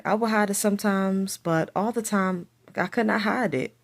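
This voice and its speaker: A woman's voice: medium pitch, moderate pace, vocal fry. A woman who speaks with a stutter, telling a personal story to camera and repeating her phrases as she goes. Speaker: vlogger.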